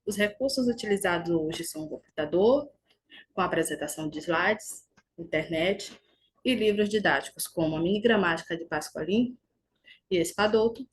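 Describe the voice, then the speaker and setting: Woman teaching a grammar lesson. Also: fairly low pitch. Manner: didactic, explains slowly with small restarts. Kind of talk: recorded online grammar lesson over slides.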